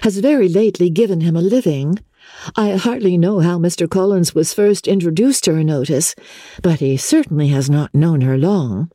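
A woman voicing a candid, spirited young gentlewoman in a classic novel reading. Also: on the low side, normal speed, no echo, clean studio sound, voice pushed up, lightly acted. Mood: polite. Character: bright, candid young gentlewoman with quick opinions.

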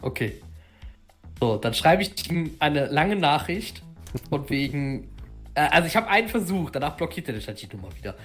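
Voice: medium pitch, very animated, normal speed, faint music behind. A player in a tabletop roleplaying session over voice chat, speaking earnestly and hesitantly, often stammering. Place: online voice chat.

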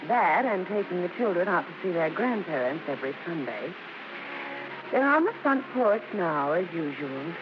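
A woman's voice: fairly low pitch, sing-song, normal speed, music in the background. A woman playing a grown daughter fondly narrating family memories in a 1950s radio drama. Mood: fond, reflective. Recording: muffled, noisy 1950s radio recording.

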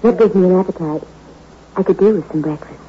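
A woman's voice: fairly low pitch, fast, natural voice. A woman playing a cool, scheming gangster's wife in a 1960s radio crime drama, coaxing and slangy. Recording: dull old radio recording, faint noise.

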